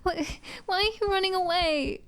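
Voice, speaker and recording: A woman's voice: very high voice, fairly even intonation, moderate pace, voice pushed up. A woman acting a frightened, abandoned young one in an audio roleplay, crying and stammering desperate pleas. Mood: desperate, tearful.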